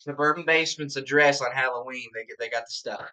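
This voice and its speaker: A man, hoarse from a sore throat, co-hosting a bourbon tasting live stream in laid-back, joking banter. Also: mid-range voice, quick pace, pushed and bright. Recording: dull lo-fi stream audio.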